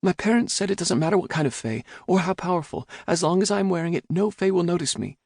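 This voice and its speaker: A man's voice: sits high, expressive, fast talker, gravelly, a little mellow, slightly rough, no room sound, clean studio sound, voice pushed up, heavily acted. A man voicing a guarded young woman who talks in a hesitant, earnest way, a storybook figure. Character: guarded young woman, wary yet hopeful.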